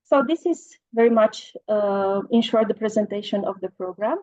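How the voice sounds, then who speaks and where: A woman's voice: medium pitch, normal speed, a little mellow, no echo. A woman in her forties presenting a program in a webinar, listing details with frequent fillers over a video call. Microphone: video call, laptop mic. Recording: slightly muffled dry video call audio.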